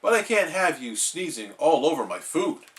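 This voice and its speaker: Man putting on a loud, bossy cartoon crab. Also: fairly high pitch, sing-song, moderate pace, far from the mic. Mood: firm. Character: gruff, bossy male cartoon crab boss.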